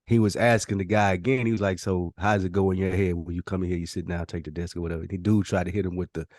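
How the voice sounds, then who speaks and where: An American man with a low voice chats as a podcast host in a dry, close recording. He tells stories in loose slang and voices other people's replies as he goes. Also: fairly even intonation, fast talker, darkish tone, dry recording. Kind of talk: casual chat.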